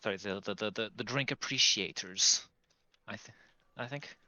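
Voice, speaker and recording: A man's voice: lowish voice, normal speed, pushed and bright, dry recording. A man playing in a tabletop game, talking in casual banter with stammering restarts. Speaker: player.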